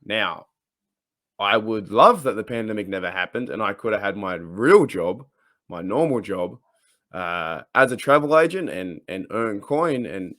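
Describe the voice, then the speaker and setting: A man's voice: on the low side, a bit bright, no room sound, studio quality. A man with an Australian accent hosting a casual sports podcast, talking in a rambling, hesitant way. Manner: rambling, hesitant, halting delivery. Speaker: podcast host.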